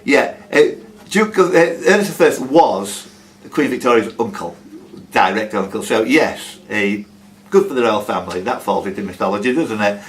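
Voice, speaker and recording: A man's voice: lowish voice, animated, normal speed, slight background noise. A man hosting a quiz, reading questions aloud and teasing the players with jokey casual banter.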